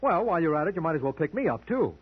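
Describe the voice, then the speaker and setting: A man's voice: fairly high pitch, big pitch swings, very fast, husky. A wry, hard-boiled hero of a vintage radio crime show. He speaks in a dry, flippant way, heard through a dull old recording. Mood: insistent. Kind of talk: 1940s-50s radio crime acting.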